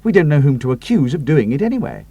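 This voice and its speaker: A man's voice: on the high side, big pitch swings, quick pace, vocal fry, dark tone, muffled recording, heavily acted. A man voicing a loyal, cautious pilot sidekick who talks earnestly. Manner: earnest and a little doubtful.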